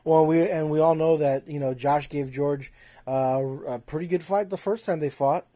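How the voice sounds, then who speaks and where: A man conducts a casual interview in a dark, relaxed voice. His pitch sits slightly high, and the sound is dry. He is conversational and a little hesitant as he asks questions. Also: moderate pace, no echo. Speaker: interviewer, host.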